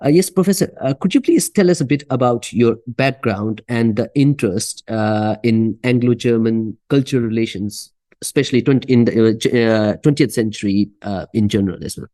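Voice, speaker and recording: Hesitant polite man, mellow voice. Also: medium pitch, moderate pace, dry recording. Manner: hesitant, many fillers, polite. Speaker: podcast host. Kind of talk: interview questions.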